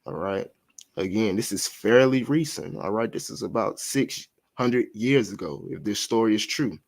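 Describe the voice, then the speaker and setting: A man's voice: on the low side, moderate pace, vocal fry. A man teaching history to listeners he calls family, reading passages aloud, then going back over them with frequent recaps and fillers.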